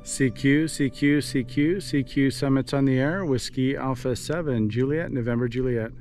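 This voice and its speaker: A man's voice: medium pitch, normal speed, over music. Appreciative man making amateur radio calls. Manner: appreciative, spells with phonetic alphabet. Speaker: amateur radio operator activating a summit. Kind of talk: radio contact calls and casual narration. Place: outdoors on a forested summit.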